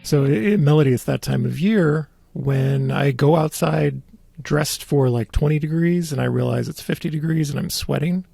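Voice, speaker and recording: A man hosting a podcast in casual conversation, with a halting, wry way of talking. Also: on the high side, creaky, dark tone, breathy edge, no room sound. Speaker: podcast host.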